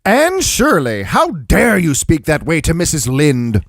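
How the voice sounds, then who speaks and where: A man's voice: a little high, big pitch swings, unhurried, dry recording, clean studio sound. A man voicing a stern, prickly older unmarried woman scolding a child in a read-aloud story. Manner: stern, commanding.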